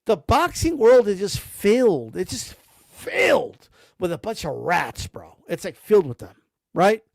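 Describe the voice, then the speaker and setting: High-voiced man ranting about boxing. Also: vocal fry, a little mellow, no echo. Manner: rambling, blunt, peppered with slang and cursing. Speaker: boxing commentary streamer. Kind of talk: casual ranting commentary.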